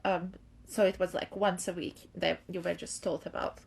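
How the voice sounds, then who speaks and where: A woman's voice: on the low side, flat delivery, normal speed, vocal fry, slightly rough. A young woman from Latvia co-hosting a podcast, speaking hesitantly and thoughtfully. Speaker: co-host. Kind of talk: casual chat.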